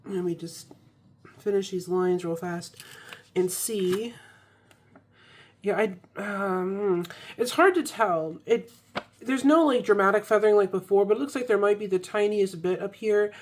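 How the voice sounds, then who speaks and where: A woman's voice: medium pitch, unhurried, a little hiss or hum. A young woman recovering from a cold, chatting casually with ums and restarts as she reviews an ink. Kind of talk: casual product review vlog.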